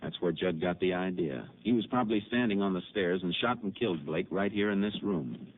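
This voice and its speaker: Low-voiced, steady Texas Ranger, old radio. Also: male voice, breathy edge. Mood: explaining. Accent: American. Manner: measured, matter-of-fact, deliberate. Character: steady, methodical Texas Ranger lawman, male detective. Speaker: lead actor and narrator. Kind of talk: 1950s radio drama acting with first-person narration. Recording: noisy, narrow-band old radio recording.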